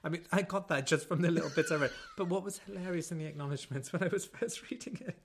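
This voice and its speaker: A man co-hosting a podcast, chatting casually and excitably, giggly and prone to acting out conversations. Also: fairly high pitch, quick pace, creaky, a little hiss or hum. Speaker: podcast co-host. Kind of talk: casual, jokey chat.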